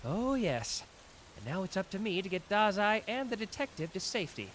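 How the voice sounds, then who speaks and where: A man acts out a smug young male detective in an anime audio drama. His voice sits on the high side and swings in pitch as he teases, pausing theatrically with total self-assurance. Background noise and ambience run under the recording. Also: very animated, a bit bright, poor audio. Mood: brisk, confident. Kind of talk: scripted anime roleplay ASMR audio drama.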